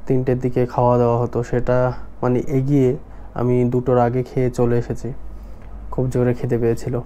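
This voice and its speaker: A man giving casual unboxing commentary for a vlog, halting as he thinks aloud. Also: mid-range voice, dark tone. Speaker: vlogger. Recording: noisy vlog recording with background ambience.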